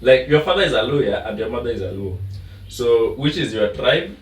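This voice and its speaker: Lively Kenyan man in an echoey room. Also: medium pitch, brisk, recorded from a distance. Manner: animated, laughing, teasing. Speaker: podcast host. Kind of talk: casual banter switching between Swahili and English. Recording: echoey room, away from the mic.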